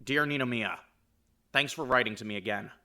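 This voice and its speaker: A man voicing a shy young man who reads out his letter in a soft-spoken, earnest way. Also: medium pitch, brisk. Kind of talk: reading a letter aloud.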